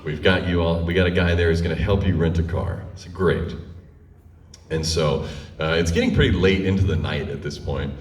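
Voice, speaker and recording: A man telling a personal story in a conversational, comic style, with dramatic pauses and animated retelling. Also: deep voice. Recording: roomy echo, distant microphone.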